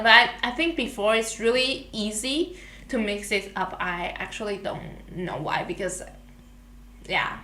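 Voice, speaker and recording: A woman's voice: on the high side, bright, forceful tone. A young woman from Taiwan, a native Mandarin speaker with a Taiwanese accent, chatting casually and searching for words in English. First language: Mandarin Chinese. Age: young adult. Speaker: podcast guest, international student. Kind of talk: casual podcast chat. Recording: echoey room.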